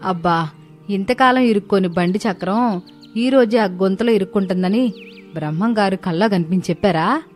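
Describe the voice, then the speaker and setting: A woman's voice: mid-range voice, normal speed, faint music behind, natural voice. A woman playing a suspicious, sarcastic village wife in a story, accusing and nagging.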